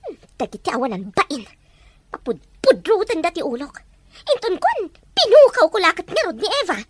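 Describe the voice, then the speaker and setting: A woman's voice: very high voice, sing-song, normal speed, slight background noise. A woman acting an agitated, scheming woman in an Ilocano-accented radio melodrama. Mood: indignant.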